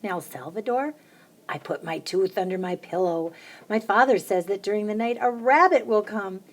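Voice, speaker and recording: Brief: adult woman, children's book reader, lively storytime delivery, mid-range voice. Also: normal speed. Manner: lively, lifts her voice high for the children's chants. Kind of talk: storybook read-aloud.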